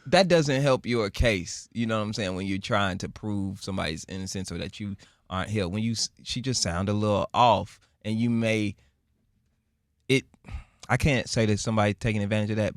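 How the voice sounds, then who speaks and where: A man's voice: fairly low pitch, no echo, clean studio sound. A man co-hosting a casual podcast, joking and trailing off into laughter and restarts. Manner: animated, laughing, halting restarts. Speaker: podcast co-host. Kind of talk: casual group chat.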